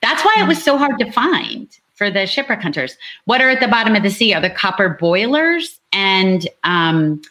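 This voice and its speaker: Bright, forceful woman explaining things. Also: medium pitch, very animated, moderate pace, few pauses. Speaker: novelist, guest of honour at book launch. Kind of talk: lively conversational book talk.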